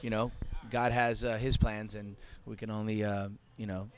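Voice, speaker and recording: A man talking casually as a podcast guest, relaxed and joking, with many fillers. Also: lowish voice, moderate pace. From United States, Cuban family. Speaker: co-founder of a fitness festival, podcast guest.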